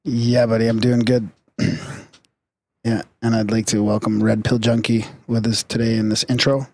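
A man co-hosting a podcast, relaxed and asking the guest questions. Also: on the low side, moderate pace, dry recording. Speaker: podcast co-host. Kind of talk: casual podcast chat.

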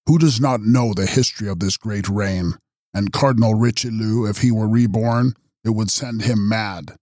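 A man voicing a mysterious, ageless male count who makes grave, measured prophecies in an audiobook. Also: on the low side, a little mellow, dry recording, studio quality, natural voice. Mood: lamenting. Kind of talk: audiobook character reading.

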